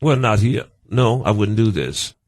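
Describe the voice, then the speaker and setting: Halting, emphatic man with florid phrasing. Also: lowish voice, animated, no room sound, studio quality. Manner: halting, repetitive, emphatic, florid formal phrasing mixed with slang. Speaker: guest being counselled. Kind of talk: unscripted conversation.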